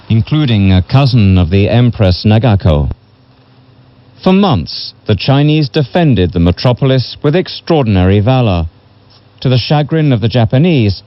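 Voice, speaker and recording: A man reading a history book as a narrator in a measured, solemn way, heard over a shortwave radio broadcast. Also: medium pitch, mellow, lo-fi sound. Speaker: audiobook narrator. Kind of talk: scripted literary history narration.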